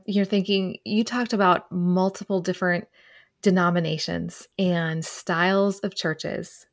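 A woman hosting a podcast interview, asking thoughtful questions and affirming her guest often. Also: mid-range voice. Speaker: podcast host.

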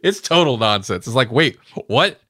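A man's voice: mid-range voice, sing-song, normal speed, bright, forceful tone, slightly rough, no room sound. Casual male podcaster, dry close sound. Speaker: podcast co-host. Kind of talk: casual fan discussion chat.

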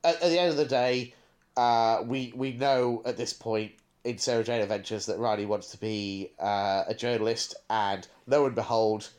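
A man's voice: mid-range voice, steady tone, normal speed, darkish tone. A man co-hosting a casual podcast discussion, giving opinions with frequent stammering restarts. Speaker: podcast co-host.

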